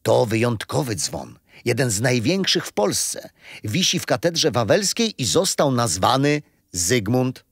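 An actor playing a kindly, patient grandfather who explains history with gentle amusement in a children's radio play. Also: mid-range voice, very animated, unhurried, slightly rough, dry recording, studio quality, heavily acted. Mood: patient, amused.

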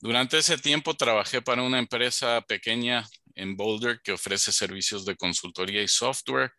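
Brief: man in his fifties, from Ecuador, video-call panelist, methodical storyteller, bright tone, dry headset sound. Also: medium pitch, normal speed, no echo. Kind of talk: personal introduction on a video call. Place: home office on a video call.

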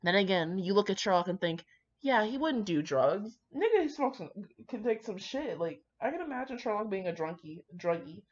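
A woman's voice: mid-range voice, very animated, normal speed. A woman rambling casually with dramatic asides, brash, slangy and full of swearing as she comments on fan fiction. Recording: dull lo-fi microphone.

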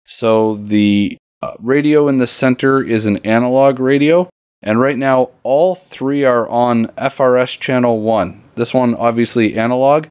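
A man's voice: medium pitch, a little slow, darkish tone, clear, resonant tone, dry recording, clean studio sound. A man hosting an instructional tutorial, explaining things step by step in an informal, plain-spoken way. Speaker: tutorial host. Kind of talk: casual instructional demo, step-by-step.

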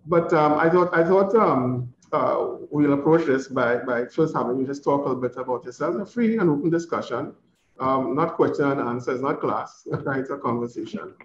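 A man, hosting an informal conversation in a halting, conversational way as a university principal. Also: mid-range voice, muffled recording, slight background noise. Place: office, indoors. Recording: roomy office sound, faint music bed.

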